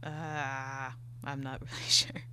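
A woman's voice: very deep voice, little pitch movement, slow pace, noisy background. A young woman speaking as an interview guest, chatting casually and a little hesitantly. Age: young adult. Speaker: guest, animator and illustrator.

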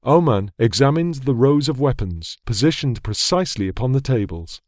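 A man narrating a fantasy audiobook in a measured, dramatic storytelling manner on a close studio mic. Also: medium pitch, expressive, darkish tone, no echo.

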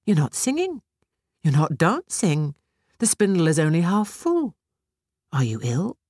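A woman voicing a loving, worried peasant mother in a storybook, gentle and concerned. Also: fairly low pitch, wildly swooping pitch, a little slow, no room sound, studio quality, voice pushed up, exaggerated voice.

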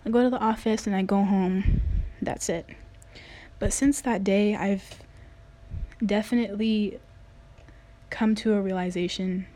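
A woman's voice: medium pitch, steady tone, unhurried, long pauses, mellow. A woman in her late teens from the United States, earnestly telling a personal story in a casual way, with many "like" fillers. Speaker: vlogger.

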